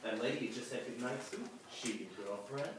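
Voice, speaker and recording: A man playing a scheming, smooth-talking street hustler with slangy, cajoling talk in a live comic radio play. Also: mid-range voice, fairly quick, natural voice. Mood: sly, persuasive. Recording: echoey, noisy live venue, distant mic.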